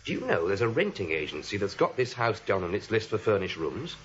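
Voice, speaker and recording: A man's voice: fairly low pitch, very animated, fairly quick, a bit bright. A man playing a genial, talkative American police officer in a 1940s radio play. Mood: gossipy. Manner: chatty, colloquial. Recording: dull old radio recording.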